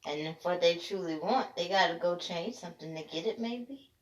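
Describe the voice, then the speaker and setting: A woman's voice: lowish voice, moderate pace. A woman chatting casually as a companion, commenting on a reading. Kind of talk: casual chat.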